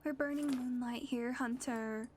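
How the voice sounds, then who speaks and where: A woman acting as a sardonic, ageless female vampire in an audio roleplay, teasing in a dry tone and slipping into soft whispered asides. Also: high-pitched, steady tone, a little slow, slight background noise.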